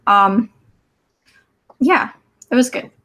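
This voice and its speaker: A woman's voice: fairly high pitch, animated, very slow, bright, forceful tone. A woman in her mid-twenties co-hosting a casual live stream in an easygoing way, with ums between thoughts. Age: 20s. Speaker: co-host and adult advisor.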